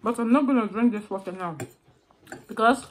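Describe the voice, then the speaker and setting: A young Nigerian woman whose first language is Igbo, filming a mukbang eating vlog and humming slowly with pleasure between bites. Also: on the high side, a little hiss or hum. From southeastern Nigeria. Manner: slow, savoring, with drawn-out hums between bites. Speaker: food vlogger.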